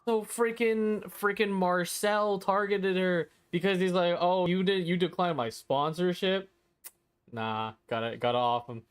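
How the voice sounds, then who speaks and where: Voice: mid-range voice, fairly even intonation, measured pace, clean tone. A game streamer giving casual, slangy, reactive commentary with muttered asides during a live playthrough.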